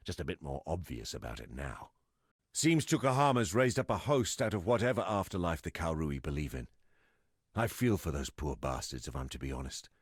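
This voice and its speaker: A hard-bitten ex-smuggler from a fantasy video game. His voice is very low and husky, with a gravelly edge. He speaks bluntly and grimly, like someone worn down by hardship, in a very dry recording. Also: no echo, full character voice. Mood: bitter, pitying. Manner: blunt, rough-spoken, salty cursing. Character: gruff, world-weary male ex-smuggler survivor, blunt and grim. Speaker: game non-player character. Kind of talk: scripted video game dialogue.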